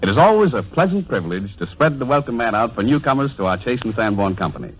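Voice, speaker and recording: A man's voice: mid-range voice, animated, fast, noisy background. A man hosting a 1930s radio show, giving a formal, declamatory, showman-like introduction on a vintage broadcast recording. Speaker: radio show host and announcer.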